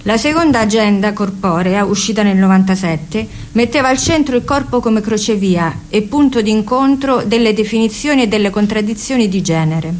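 A woman with an Italian accent reading a narrated account aloud in a steady, measured way for a radio broadcast. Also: medium pitch, soft background music. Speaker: reader.

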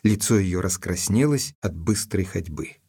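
An actor playing an earnest, gentlemanly doctor in an audio drama, speaking in a courteous, measured way. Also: male voice, low-pitched, expressive, soft background music. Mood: pensive narration. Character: earnest gentlemanly doctor, loyal and cautious, narrating. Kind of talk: audio drama narration and dialogue.

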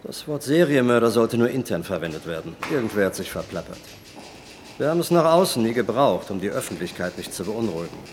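An actor playing a stern senior police official who coldly reprimands a subordinate in a radio drama. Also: male voice, lowish voice, big pitch swings, fast, slight background noise. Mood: reproachful. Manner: cold, reproachful and sarcastic. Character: stern male senior police superior, reproachful.

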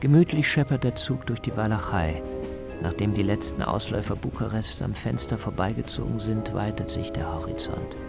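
A man narrating a scripted radio travel feature as the reporter, in a measured, descriptive way. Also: fairly low pitch, gravelly, loud music behind the voice.

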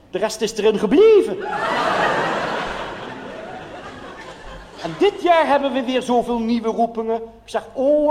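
A man's voice: extremely high pitch, warm tone, long reverb tail, slight background noise, voice pushed up. A man playing a chatty, naive, gushing modern nun in a cabaret monologue, confiding earnestly with frequent exclamations. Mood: excited.

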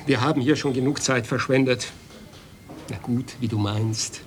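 An actor playing a sardonic, irritable husband in a German radio play, dry and dismissive. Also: male voice, medium pitch, steady tone, normal speed, lightly acted. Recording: faintly noisy old cassette radio recording.

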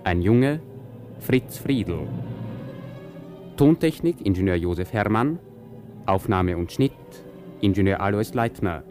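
A man formally announcing radio drama credits in a measured, scripted manner. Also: fairly low pitch, animated, darkish tone, soft background music.

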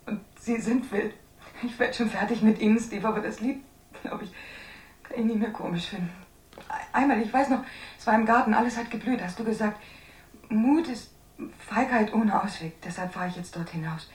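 An actress in a 1960s German radio drama playing a frightened young woman, speaking in a halting, pleading, intimate way. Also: on the high side, darkish tone, echoey room, far from the mic, close to an everyday voice. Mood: fearful, tender. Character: frightened young woman leaving a desperate farewell message.

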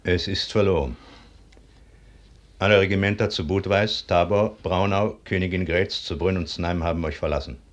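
An actor playing a grave officer bearing bad news in a classical German radio play, solemn and formal in delivery. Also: male voice, low voice.

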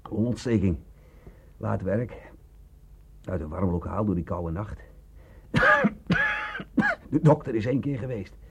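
A man in a radio drama playing a sick, wry young man in hiding, with a rough voice and an ailing delivery. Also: lowish voice, wildly swooping pitch, slow pace, pauses often, a bit bright, full character voice. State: coughing, ill with pneumonia. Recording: muffled, tinny lo-fi radio play recording.

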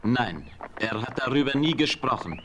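An actor playing a proud young Comanche chief in a 1970s radio play, calm and measured. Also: male voice, mid-range voice, muffled recording, a crowd in the background, close to an everyday voice. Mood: solemn.

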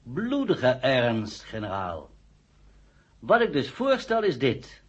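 A man in a radio play acting a formal, hard-headed, coldly logical council member with a husky voice. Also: medium pitch, wildly swooping pitch, slow pace, full character voice. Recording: dull old radio play recording.

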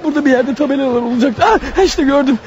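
A man's voice: very high-pitched, fast, darkish tone. An actor playing an exhausted, wounded man on the run in a radio play, urgent and breathless as he mutters to himself. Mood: panicked, straining. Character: desperate wounded fugitive man, exhausted, racing to his wife. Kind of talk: radio theatre acting, inner monologue. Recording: muffled radio drama recording. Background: music underneath.

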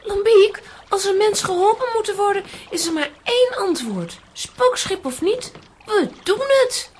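A woman's voice: very high-pitched, big pitch swings, a little slow, exaggerated voice. A woman playing a spirited, plucky adventurer, rallying and exclamatory, in a children's audio play. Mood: determined.

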